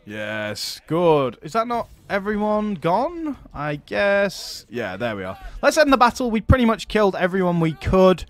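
A man's voice: high voice, wildly swooping pitch, measured pace, few pauses. A man giving casual let's-play commentary on a strategy game, chatting, laughing often and talking to his units as friends. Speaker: gaming YouTuber.

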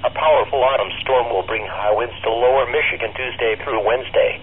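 A synthesized man's voice reading a formal, measured weather bulletin as an announcer, heard through a weather radio speaker. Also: mid-range voice. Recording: tinny narrowband radio speaker, faint noise.

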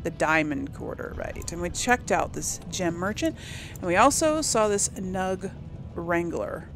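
A woman's voice: fairly low pitch, expressive, measured pace, gravelly. Chatty woman narrating gameplay. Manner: chatty, thinks aloud, voices the player character. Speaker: gameplay host. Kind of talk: casual gameplay commentary. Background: game music.

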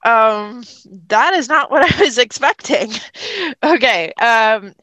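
A woman with a high voice narrates as game master. She speaks casually, improvising scenes and calling for rolls, in a close, dry sound. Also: fairly bright tone, no room sound.

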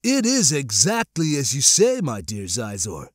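A man voicing a sly, flattering spider-like alien schemer in an audiobook, unctuous and theatrically sarcastic, drawing words out. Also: medium pitch, unhurried, husky, no room sound, studio quality, heavily acted. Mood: sly, agreeable. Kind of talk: audiobook character acting.

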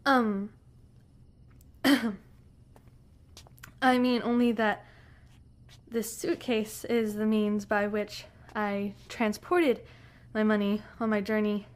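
A woman's voice: medium pitch, natural voice. A woman playing a headstrong young lady from a rich family, speaking formally and insistently in a western audio drama. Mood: flustered. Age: young adult.

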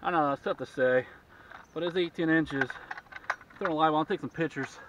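A man in his forties talks casually on a small boat on an open lake, caught by a camera mounted a few feet away. The sound is dull and muffled. Steady wind noise runs under his voice. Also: fairly high pitch, fairly quick, far from the mic. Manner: excited, talking to himself while handling fish. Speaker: fishing channel host. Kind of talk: casual fishing vlog narration. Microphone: action camera mounted on the boat.

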